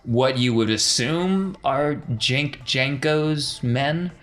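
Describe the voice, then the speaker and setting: A man's voice: mid-range voice, slow pace, fairly bright tone. A man acting as game master, narrating a tabletop roleplaying game in a casual, explanatory way. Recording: faint music behind the voice.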